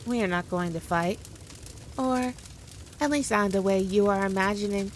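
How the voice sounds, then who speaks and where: A woman playing a powerful demon queen in an ASMR roleplay, condescending, teasing and theatrical, with deliberate, drawn-out phrasing. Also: medium pitch, fairly even intonation, slight background noise, close to an everyday voice. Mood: taunting, coaxing. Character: powerful demon queen, haughty, teasing, dominant, toying with her foe. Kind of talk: scripted ASMR roleplay addressed to the listener.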